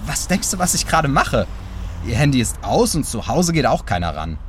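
A man's voice: medium pitch, very animated, moderate pace, natural voice. An actor playing a harried, grumpy male police detective in an audio drama, impatient and colloquial. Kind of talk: naturalistic audio drama acting. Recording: faint car and street noise.

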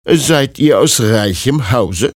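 Man voicing a slow, husky little old peasant woman. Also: medium pitch, expressive, dry recording, pitched above the performer's own voice. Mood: warm, weary. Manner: slow, drawn-out, hesitant speech.

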